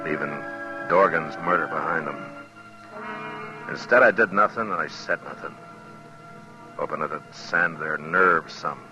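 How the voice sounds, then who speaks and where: A man's voice: very deep voice, measured pace, long pauses. An actor playing a stern, weary Old West lawman in a 1950s radio western, terse and commanding. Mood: brooding narration. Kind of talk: 1950s radio western acting with first-person narration. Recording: dull 1950s radio recording, music behind.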